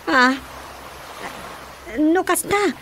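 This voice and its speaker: A woman's voice: very high-pitched, drawn-out words, bright, forceful tone, a little hiss or hum, heavily acted. A woman playing a curious daughter who keeps questioning her mother in a radio comedy. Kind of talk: radio drama comedy acting.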